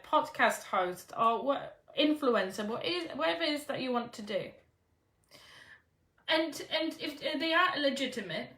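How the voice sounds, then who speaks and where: A bright, forceful woman with a Polish accent coaches viewers on a live stream, reading their comments and urging them on. Her voice sits fairly high and rings in a roomy space, a little back from the mic. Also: distant mic. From Poland. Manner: encouraging, reads out viewer comments, frequent "right?". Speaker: business coach.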